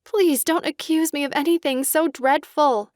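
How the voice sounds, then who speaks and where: Actress playing a timid, high-voiced gentle daughter. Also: female voice, fairly even intonation, moderate pace, no echo, studio quality, natural voice. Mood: pleading, upset. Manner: soft, timid, sincere. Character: gentle, timid, earnest young daughter, simple and sincere. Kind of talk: full-cast audiobook period drama acting.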